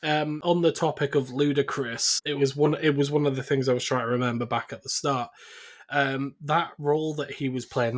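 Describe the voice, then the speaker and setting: A man's voice: mid-range voice, fairly bright tone. A man hosting a podcast, talking casually about film in a conversational discussion. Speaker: podcast host.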